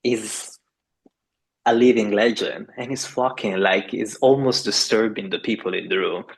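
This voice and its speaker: A man's voice: on the low side, a little slow, vocal fry, breathy edge, rough recording. A man in his thirties from Italy with an Italian accent, talking enthusiastically as a yoga teacher guest on a video call. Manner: enthusiastic, acts out other people's voices. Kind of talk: casual interview conversation. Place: home in a French village at night.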